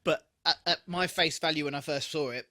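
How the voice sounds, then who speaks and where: Very bright-voiced, higher-pitched man chatting casually. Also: a little fast, no room sound, studio quality. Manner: informal, sweary. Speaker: podcast co-host. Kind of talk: casual TV episode discussion.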